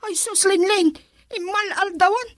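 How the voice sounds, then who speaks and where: A woman's voice: very high voice, fairly even intonation, measured pace, fairly bright tone, no room sound, clean studio sound. A woman playing a down-to-earth, scolding relative in an Ilocano radio drama, with an Ilocano Filipino accent. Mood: chiding.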